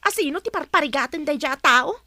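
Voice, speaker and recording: A young big sister nursing a grudge. The voice is extremely high, bright and dry, with an Ilocano accent, indignant and nagging in village radio-drama style. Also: female voice, animated, brisk, no room sound, heavily acted. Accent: Ilocano, northern Philippines. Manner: complaining, sharp-tongued.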